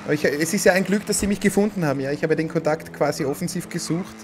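A man's voice: on the high side, fast talker. A man in his thirties with an Austrian accent, talking politely and chattily while roleplaying a prosecutor at a home streaming setup. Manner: polite, chatty, talks with a put-on Viennese lilt. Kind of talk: in-character roleplay chat. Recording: game car noise behind.

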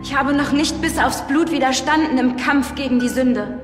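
An actress playing a fervent young novice nun in a TV drama, solemnly reciting as if in prayer. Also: female voice, sits high, moderate pace, close to an everyday voice. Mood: solemn, stern. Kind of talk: TV drama acting. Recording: echoey church reverb, music behind.

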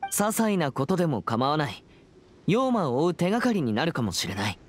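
Earnest teenage exorcist boy, expressive pitch. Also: brisk, a little hiss or hum. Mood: earnest, composed. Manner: formal, polite, reserved. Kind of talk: Japanese anime game voice acting. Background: background music.

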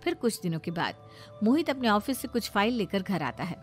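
A woman's voice: medium pitch, big pitch swings, a little fast, faint music behind. A woman narrating a scripted moral family story for a cartoon.

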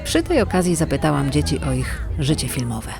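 A woman's voice: sits low, big pitch swings, fairly quick, creaky. A middle-aged woman hosting a radio feature, reading scripted narration. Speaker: radio host. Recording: music behind the voice. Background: background music.

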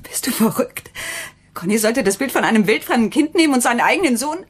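An actress playing an anxious, shaken wife in a German radio crime drama, her voice husky and breathy. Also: on the high side, big pitch swings, quick pace. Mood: agitated.

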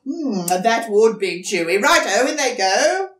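A woman's voice: on the high side, very animated, unhurried, fairly bright tone, reverberant, pitched above the performer's own voice. A woman voicing a sly, boastful male dingo in a storybook, exuberant and sing-song. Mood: delighted.

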